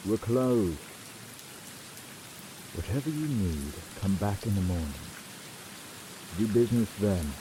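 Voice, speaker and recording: A man playing a gruff, grumbling but reluctantly kind fantasy town blacksmith in a slow, dry ASMR roleplay. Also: fairly low pitch, background noise. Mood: irritated, curt. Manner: curt, grumbling, dry, wordy sarcasm. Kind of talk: ASMR roleplay, slow scripted character acting. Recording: steady rain sound behind the voice. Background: rain falling.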